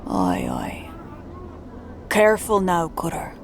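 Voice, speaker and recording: A woman's voice: on the low side, wildly swooping pitch, slow pace, vocal fry, slight background noise, full character voice. A woman playing a knowing, gossipy slum dweller who talks in street slang in a fantasy audio drama.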